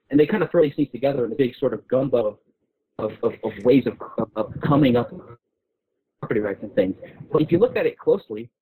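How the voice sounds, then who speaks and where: A man's voice: a little high, warm tone, breathy edge. A man arguing points informally in an intellectual discussion as a guest, often repeating words as he builds his case. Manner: halting, repeats words while building arguments. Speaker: guest speaker on a discussion show. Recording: muffled narrow phone-line sound.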